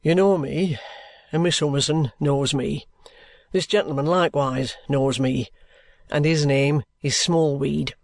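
A reader voicing a sly, genial middle-aged male detective with a cheerful, coaxing, unhurried manner. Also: medium pitch, fairly even intonation, no echo, voice pushed down, close to an everyday voice. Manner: cheerful, coaxing, leisurely drawl. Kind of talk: audiobook character reading.

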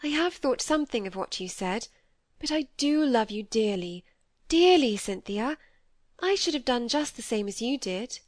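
A woman voicing a gentle, earnest young woman in an audiobook, apologetic and loyal. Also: on the high side, animated, normal speed, no echo, pitched above the performer's own voice, lightly acted. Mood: tender, distressed. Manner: earnest, soft-hearted. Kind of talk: acted audiobook dialogue.

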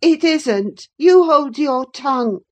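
A woman's voice: fairly high pitch, takes it slowly, a little mellow, voice pushed up. A woman voicing a sickly, spoiled boy in an audiobook, petulant, needy and spiteful. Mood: fretful. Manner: petulant, wheedling. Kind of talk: dramatised audiobook reading of a classic novel.

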